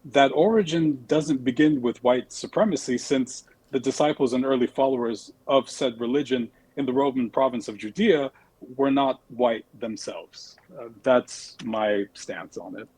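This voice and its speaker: A man's voice: mid-range voice, normal speed, a little mellow, slightly rough, dry recording, poor audio. A young man from the United States, a debate guest who speaks politely and a bit hesitantly. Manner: polite, hesitant, uses fillers. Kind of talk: debate argument.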